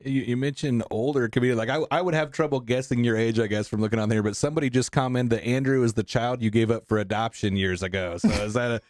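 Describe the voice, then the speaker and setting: A man's voice: mid-range voice, steady tone, fast talker, few pauses, darkish tone. A man with an Indiana accent hosting a podcast, joking and poking fun at himself. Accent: Midwestern US, Indiana. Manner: joking, self-mocking. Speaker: podcast host. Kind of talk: casual podcast chat.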